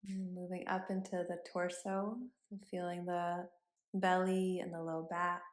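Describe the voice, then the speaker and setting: A woman yoga instructor leading a body scan meditation in a gentle, instructive manner with pauses between cues. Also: on the low side, monotone. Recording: roomy, echoing sound.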